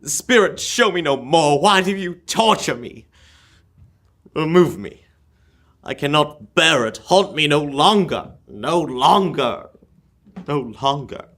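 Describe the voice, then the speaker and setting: A man's voice: on the high side, lively intonation, slow pace, fairly bright tone, exaggerated voice. A young man playing an anguished, pleading miser in an old-time radio drama. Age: teens.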